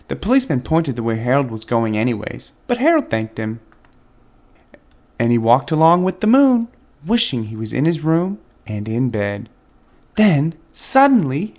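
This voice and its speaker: A man with a medium-pitched, very lively voice reads a children's story aloud. His delivery is expressive and dramatic, shaped for young listeners, with wide swings in intonation. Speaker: children's book reader and channel host.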